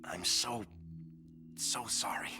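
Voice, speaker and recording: A man acting in a video game as a doomed lover leaving a last message, speaking in a hushed, halting way. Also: lowish voice, whispered, exaggerated voice. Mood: grave, regretful. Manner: hushed, halting, breathy delivery. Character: dying tender male lover leaving a last recorded message. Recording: dramatic music behind the voice.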